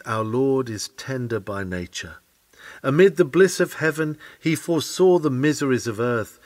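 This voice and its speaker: A man with a mid-pitched voice reads a sermon aloud. His delivery is earnest and oratorical, phrased with care, and his intonation rises and falls in a lively way. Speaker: sermon reader. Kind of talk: reading a Victorian sermon aloud.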